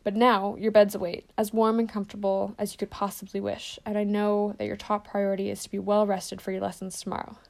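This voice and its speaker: Storybook role: woman reading calm old headmaster, formal, solemn, gravelly. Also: mid-range voice, brisk, hardly any pauses, lightly acted. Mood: gentle.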